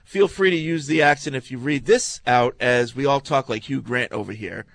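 A man's voice: medium pitch, moderate pace, vocal fry, fairly bright tone, dry recording. A man hosting a sports talk radio show, chatting with hesitant fillers and restarts. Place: radio studio.

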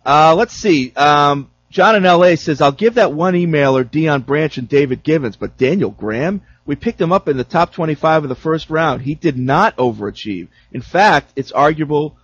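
A man runs a casual sports talk show, reading listener emails aloud in an easygoing way. His voice sits a little high. The sound is dull and lo-fi with no room echo. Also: expressive, normal speed, hardly any pauses, a bit bright. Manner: reads messages aloud, easygoing banter. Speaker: radio talk show host.

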